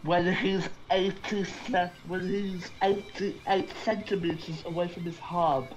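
A fellow player chatting during a shooter game, making slow, dry remarks about sniping. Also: medium pitch, fairly even intonation, soft background music, rough recording. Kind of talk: casual gameplay chat.

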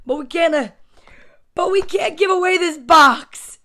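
A woman's voice: very high voice, a little slow, sharp tone, pitched above the performer's own voice, heavily acted. A woman playing a young boy from a children's storybook, pleading earnestly and emphatically about his toys.

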